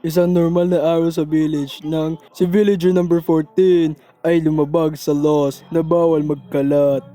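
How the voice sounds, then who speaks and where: Man as high-pitched, chatty villager field reporter. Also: flat delivery, moderate pace, warm tone, no room sound, lightly acted. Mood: chatty, matter-of-fact. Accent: Filipino. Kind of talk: mock news field report.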